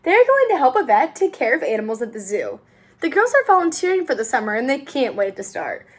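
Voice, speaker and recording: A woman reads a children's book aloud. Her voice is high and swings widely in pitch, with an expressive, sing-song storytelling delivery suited to young listeners. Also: fast talker, breathy edge. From United States. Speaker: host of a read-aloud channel.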